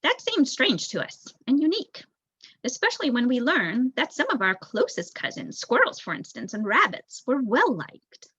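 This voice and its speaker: A young woman voicing a thoughtful, bookish male rat elder telling a storybook history in a measured, reflective way. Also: sits high, huge pitch swings, sharp tone, husky, no room sound, voice pushed up, heavily acted. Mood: pondering. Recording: dull lo-fi recording.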